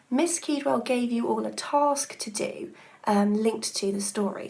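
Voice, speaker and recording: A woman teacher speaking to children as a story reader, explaining a creative task. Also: on the high side. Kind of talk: reading to children and setting tasks.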